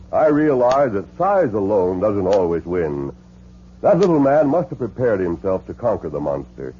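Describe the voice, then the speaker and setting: A man's voice: medium pitch, slightly rough. A man playing an upright, commanding masked cowboy hero in an earnest, declamatory 1950s radio style. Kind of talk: 1950s radio western acting. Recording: muffled 1950s radio recording with hiss.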